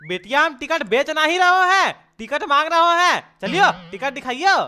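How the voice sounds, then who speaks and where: A man's voice: very high-pitched, very animated, normal speed, sharp tone, a little hiss or hum, exaggerated voice. A man voicing an officious, impatient train ticket inspector in a cartoon, giving curt, commanding orders. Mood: stern. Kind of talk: exaggerated cartoon dialogue.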